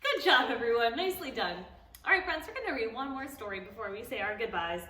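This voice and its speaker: A woman hosting a preschool storytime, encouraging and animated with the children. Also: on the high side, fast, reverberant, far from the mic.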